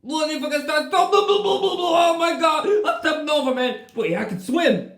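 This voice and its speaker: A man's voice: very high-pitched, normal speed, vocal fry, heavily acted. Male performer: a hot-tempered critter stuck as a duck, rants and wails in a roomy space. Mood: outraged. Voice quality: shouty, whiny, grumbling. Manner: dramatic, wails and complains. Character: hot-headed male echidna turned into a duck. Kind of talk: playful homemade toy play. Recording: roomy echoey room.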